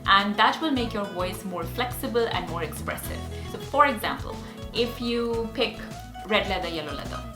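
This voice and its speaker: A woman's voice: mid-range voice, lively intonation, normal speed. A woman whose first language is Hindi, speaking English with a Hindi accent as she teaches speech exercises in an upbeat, earnest way. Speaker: actor and speech coach. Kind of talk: casual teaching vlog. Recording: echoey room with faint music.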